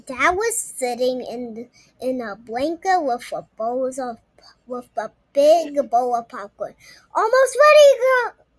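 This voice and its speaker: A young girl reading a storybook aloud, haltingly and often repeating words. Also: high voice, no room sound, rough recording. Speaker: story reader.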